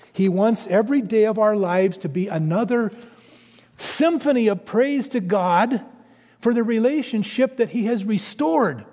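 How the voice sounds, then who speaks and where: Male pastor, high voice, animated sermon delivery, echoing church acoustics. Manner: animated preaching with conversational asides.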